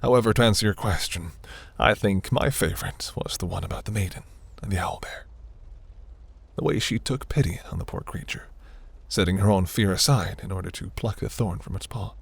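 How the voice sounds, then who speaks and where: A man playing a seductive, imperious demon prince in a fantasy audio roleplay, speaking in a silky, commanding, breathy murmur. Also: brisk, dry recording. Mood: musing, tender. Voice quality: low, gravelly, breathy.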